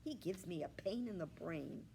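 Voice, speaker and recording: A woman plays a small, easily offended storybook pig. He grumbles with wounded dignity in a creaky voice with wide swings, over faint noise. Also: lowish voice, animated, moderate pace, warm tone, low-quality recording. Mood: grumbling. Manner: grumbling, indignant. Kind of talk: children's storybook read-aloud.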